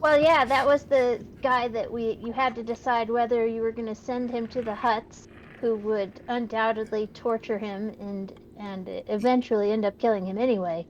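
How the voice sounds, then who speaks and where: A woman's voice: fairly high pitch, fairly even intonation, moderate pace. A woman co-hosting a game stream, talking casually over voice chat. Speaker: co-host, fellow gamer. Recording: dull lo-fi voice chat with noise.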